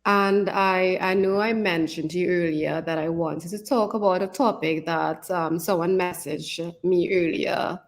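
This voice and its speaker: A woman's voice: fairly low pitch, fairly even intonation, a little slow, hardly any pauses. A woman co-hosting a casual podcast chat as an author, often checking in with "right?". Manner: conversational, often adds "right?" as a tag.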